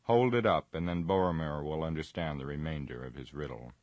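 A man's voice: very deep voice, brisk, no echo, muffled recording. Gandalf, a man voicing a solemn old wizard. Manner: solemn, commanding.

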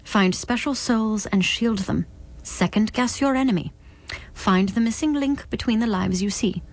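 A woman's voice: on the high side, measured pace. A woman voicing a stern, cryptic otherworldly visitor in a dramatic book reading, solemn and commanding. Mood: solemn warning.

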